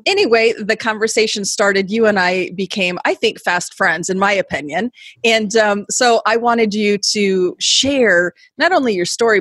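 A woman's voice: mid-range voice, a bit bright, dry recording. A woman hosting a casual podcast interview, upbeat and chatty with lots of fillers. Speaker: podcast host.

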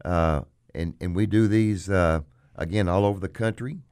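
Hoarse man, low pitched, dry close mic, casual radio talk, plugs his business. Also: normal speed, darkish tone, no room sound. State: hoarse, frog in throat. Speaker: auctioneer and radio show host.

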